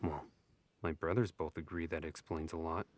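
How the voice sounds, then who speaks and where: A man's voice: very deep voice, fairly even intonation, moderate pace, darkish tone. A man playing a wry, abrasive young elf nobleman in an audio drama, with a dry, sardonic delivery. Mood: wry, unimpressed.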